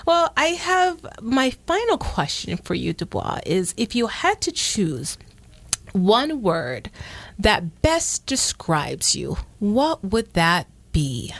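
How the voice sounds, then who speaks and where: A woman's voice: medium pitch, takes it slowly, no echo. A woman radio host from Jamaica, raised in the Bronx, talks in a chatty, affirming way. She has a mid-range voice, and it is recorded dry and close in a studio. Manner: warm, affirming, lively filler-rich talk. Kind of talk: casual radio interview.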